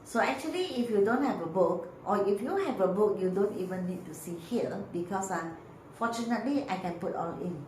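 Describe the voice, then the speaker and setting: A woman with a Malaysian English accent talks a grammar class through its lesson at a whiteboard. She stops often on fillers and restarts her sentences. Her mid-pitched voice echoes around the room over a faint hum. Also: lively intonation, a little fast, a little mellow. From northern Peninsular Malaysia. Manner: hesitant, many fillers and restarts, explaining step by step. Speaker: grammar teacher. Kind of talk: recorded online grammar lesson.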